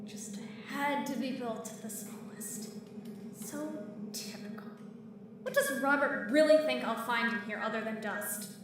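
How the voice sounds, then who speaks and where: A woman's voice: a little high, a little slow, natural voice. A woman playing an irritated, anxious young spaceship crew member in an audio drama, grumbling and sarcastic. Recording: echoey, distant, noisy room sound.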